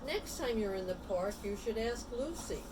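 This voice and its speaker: A woman voicing a kind male dog owner in a children's storybook, gently coaxing and reassuring his pet. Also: a little high, animated, normal speed, noisy background, lightly acted. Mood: encouraging.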